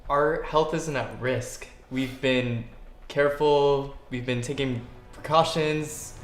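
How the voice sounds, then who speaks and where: Actor as earnest young boyfriend, echoey room. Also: male voice, mid-range voice, fairly even intonation, slow pace, natural voice. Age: young adult. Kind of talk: earnest low-budget skit acting. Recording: echoey room, faint music behind.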